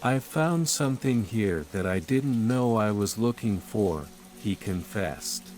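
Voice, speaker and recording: A man narrating a sleep story in a calm, measured, soothing way, as scripted bedtime storytelling. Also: fairly low pitch. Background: calm music and rain sounds.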